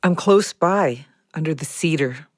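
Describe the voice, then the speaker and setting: A woman playing a calm, watchful old snake in an audio drama, speaking in sparse, deliberate phrases. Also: low-pitched, dry recording, clean studio sound. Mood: calm, knowing.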